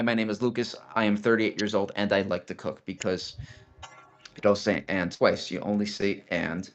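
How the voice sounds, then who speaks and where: A man's voice: on the low side, fairly bright tone. Blunt casual man. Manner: blunt, casual, restarts and counts out steps. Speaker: guest. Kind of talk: casual explaining chat.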